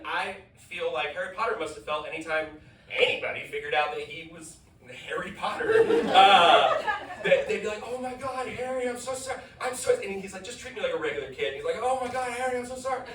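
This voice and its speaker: A young man working through a stand-up set, stumbling over restarts and poking fun at himself. His voice sits high and swings around a lot. He is heard from a bit away in a roomy venue with clear room noise behind him. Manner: rambling, self-deprecating, many fillers and restarts. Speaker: stand-up comedian. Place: comedy venue with live audience. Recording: live comedy club, roomy and distant.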